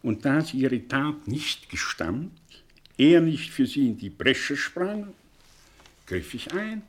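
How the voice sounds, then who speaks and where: Bitter fatalistic professor in a german radio drama, slow n husky, theatrical pauses. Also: male voice, mid-range voice, very animated. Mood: bitter, resigned. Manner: theatrical, halting, emphatic, literary phrasing. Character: learned male professor, broken, confessing with bitter fatalism. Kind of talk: German radio play acting.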